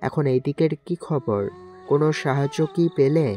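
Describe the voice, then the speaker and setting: A man acts out a serious, duty-bound navy officer in an audio story. He speaks in a measured, reassuring way with steady authority, over a music bed. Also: fairly high pitch, close to an everyday voice. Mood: commanding, serious. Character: composed, duty-bound navy mission commander and reserved fiancé. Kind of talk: Bengali audio story acting.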